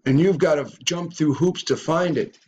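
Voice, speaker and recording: A man's voice: fairly high pitch, moderate pace, a little mellow, dull audio. A man giving a ranting reaction commentary on a video, stumbling and repeating words as he goes.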